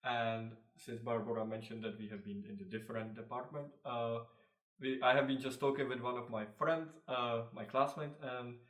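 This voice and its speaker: A young man with a Czech accent, a designer answering interview questions casually, halting often with fillers and restarts. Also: mid-range voice, fairly even intonation, fairly bright tone. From Czech Republic. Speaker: designer, co-founder of a clothing brand. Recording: echoey room, mic at a distance.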